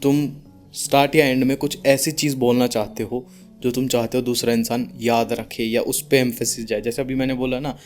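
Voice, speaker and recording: A young man with an Indian accent talks like an informal speaking coach over faint guitar music. His voice is deep, with a mid-range pitch for a man. He stays calm and unforced, sprinkles in English words and pauses deliberately for emphasis. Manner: mixes English words into speech. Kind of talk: informal advice talk to camera.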